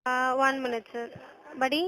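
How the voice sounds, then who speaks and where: High voiced tamil lady, call center agent translating on a phone call, polite. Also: steady tone, very slow, bright, forceful tone. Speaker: customer service agent and translator. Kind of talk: phone support call, interpreting.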